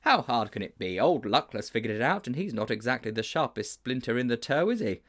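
Man playing a pompous, animated, formal schoolboy. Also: mid-range voice, fairly quick. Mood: bossy. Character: pompous, indignant schoolboy with grand formal speech.

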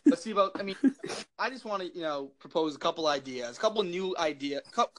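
A young man talks in a loud, carrying voice that sits a little high for a guy. He rambles through jokey ideas, piling up fillers as he goes, over a faint background hiss. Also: expressive, fairly quick. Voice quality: loud, projecting voice. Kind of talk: casual chat.

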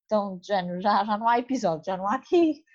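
A woman's voice: mid-range voice, very fast. A woman with a northern Portuguese accent from Porto, hosting an interview and thinking aloud with frequent hesitations and restarts. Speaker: podcast host. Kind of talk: conversational interview. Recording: dull lo-fi recording.

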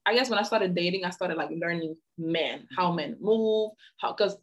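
A woman in her mid-twenties with a somewhat low voice speaks frankly and earnestly. Her talk is casual and full of slang, in a relaxed conversation. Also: normal speed. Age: 20s. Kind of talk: casual chat.

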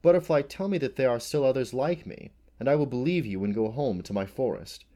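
A man voicing a gentle, lonely female unicorn who speaks softly and wistfully. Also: medium pitch, a little fast, pitched above the performer's own voice, natural voice. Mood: pleading. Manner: soft, pleading, wistful. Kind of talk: audiobook character voice.

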